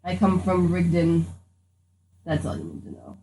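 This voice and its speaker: A woman's voice: fairly low pitch, wildly swooping pitch, moderate pace, dark tone, a little hiss or hum, low-quality recording. A woman playing a curt, guarded character who possesses a child in a tabletop game.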